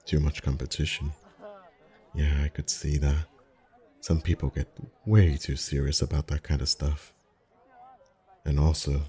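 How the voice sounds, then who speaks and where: A man playing a friendly, flirty festival face painter in an ASMR roleplay, chatting in an easygoing, gently teasing way. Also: a bass voice. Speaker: voice actor in an audio roleplay. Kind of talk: ASMR roleplay, one-sided intimate conversation.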